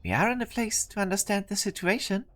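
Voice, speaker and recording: A woman's voice: mid-range voice, lively intonation, fast, a bit bright, natural voice. A woman voicing a polite, timid young male engineering intern in an amateur game fan dub, hesitant and courteous. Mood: hesitant, startled.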